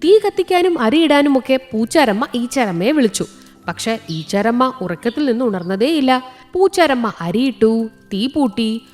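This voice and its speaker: High, animated woman telling a children's story. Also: moderate pace, soft background music. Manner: expressive, with sound words. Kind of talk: scripted children's story narration.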